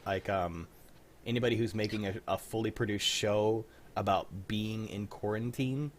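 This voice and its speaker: A man hosting a casual group chat over a video call, speaking in an excitable, opinionated, freely swearing way. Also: fairly low pitch, normal speed, slight background noise. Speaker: host of a radio drama livestream.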